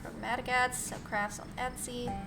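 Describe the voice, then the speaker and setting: A woman's voice: on the high side, level tone, normal speed, creaky, low-quality recording. A woman streamer reading a visual novel aloud, narration and choices included. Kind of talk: let's play, acting out game dialogue and narration. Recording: loud music behind the voice.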